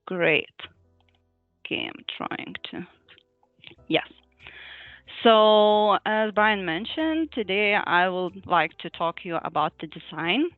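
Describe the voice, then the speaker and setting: A woman's voice: medium pitch, lively intonation, slow pace, pauses often, no echo. A woman from Lithuania giving an online user group presentation on a video call, hesitant with frequent fillers and small laughs. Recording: thin phone-like dry audio, faint music.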